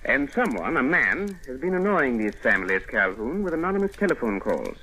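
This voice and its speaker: An actor playing a stiff, formal British colonial police commissioner in an old radio drama, with a clipped, pompous manner. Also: male voice, medium pitch, sing-song, brisk, bright, forceful tone. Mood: dry, reproving. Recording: slightly noisy old radio recording.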